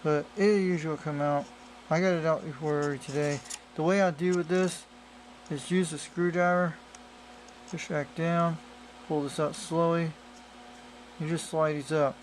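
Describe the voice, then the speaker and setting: A man with a slightly high voice talks through a hands-on gadget demo. He explains casually and rambles, often circling back over the same point. It is an amateur camera recording with faint noise behind him. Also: steady tone, measured pace, pauses often. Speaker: radio hobbyist presenter. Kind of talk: casual hands-on product demonstration. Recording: noisy amateur camera audio.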